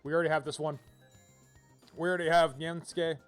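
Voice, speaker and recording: A man's voice: on the high side, level tone, a little fast, faint music behind. A man casually commentating on a video game as he streams, rambling and thinking aloud as he reads out options.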